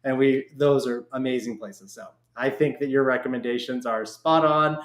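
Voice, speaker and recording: A man's voice: medium pitch, fairly even intonation, normal speed. A man with an American accent hosting a casual interview, talking in an informal, enthusiastic and wordy way. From Oregon, United States. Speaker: podcast host. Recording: echoey, roomy sound.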